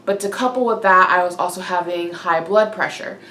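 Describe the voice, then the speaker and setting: A young woman vlogging in a casual, chatty way, slightly congested, breathy and winded from a cold. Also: on the low side, brisk, sharp tone. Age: young adult. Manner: informal, chatty. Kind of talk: casual chat to camera. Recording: roomy echo, clear background noise.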